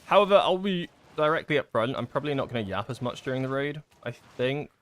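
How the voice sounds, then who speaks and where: A young man giving casual livestream commentary while gaming, chatty and self-deprecating with excitable outbursts, his voice going hoarse. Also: mid-range voice, fast talker. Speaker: gaming streamer.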